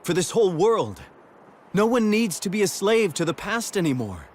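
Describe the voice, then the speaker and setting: An actor playing a steady, world-weary, kind statesman in a video game, plain-spoken, reflective and reassuring. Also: high-pitched, normal speed, a little hiss or hum. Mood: hopeful.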